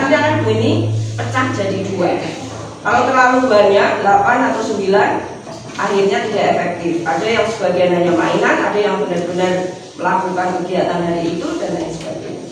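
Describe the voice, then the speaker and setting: Echoey, distant Indonesian woman schoolteacher. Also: mid-range voice. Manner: explains step by step, checking understanding with short questions. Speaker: schoolteacher leading a class. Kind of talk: classroom instruction. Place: indoor school classroom. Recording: very echoey, noisy classroom, distant microphone.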